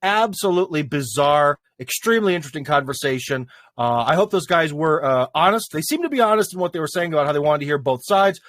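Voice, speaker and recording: Guy chatting on his live stream, loose and rambly, bit high voice, dry mic. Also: animated, fast talker, hardly any pauses, fairly bright tone, no echo. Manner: rambling, lots of fillers and laughs. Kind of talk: casual live stream chat.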